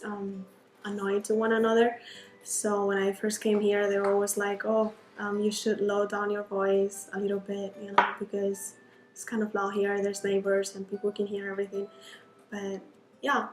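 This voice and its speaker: A woman from Spain with a Spanish accent, giving a personal interview and sometimes searching for words. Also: mid-range voice. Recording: echoey room, guitar music underneath. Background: clear guitar music.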